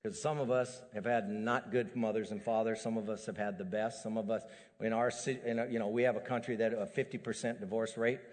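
A middle-aged man preaching a sermon as a pastor, animated and building to emphatic peaks. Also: on the low side, fairly quick. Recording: long hall reverb.

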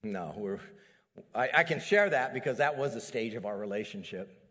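A middle-aged man preaching a sermon as a pastor, animated and building to emphatic peaks. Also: on the low side, normal speed. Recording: long hall reverb.

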